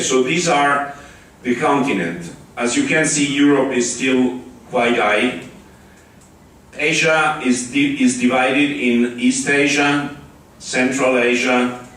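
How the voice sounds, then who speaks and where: Slow Italian-accented man in echoey hall. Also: medium pitch, a bit bright, slight background noise. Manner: halting, with many restarts and fillers. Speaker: conference speaker. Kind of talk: conference talk with slides. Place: large conference hall. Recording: echoey hall, far from the mic.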